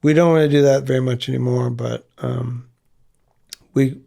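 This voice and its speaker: Gravelly fifty-year-old man, rambling. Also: medium pitch, fairly even intonation, normal speed, mellow. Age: 50s. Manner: rambling, lots of fillers and restarts. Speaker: guest musician, singer in a band. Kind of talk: casual interview conversation. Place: recording studio.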